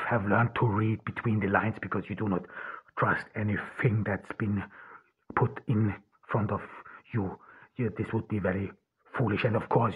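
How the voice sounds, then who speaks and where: A man in his thirties from Austria, with an Austrian German accent, hosting a solo podcast and speaking haltingly with many restarts and fillers. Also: on the low side. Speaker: podcast host. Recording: husky voice, phone-like lo-fi audio.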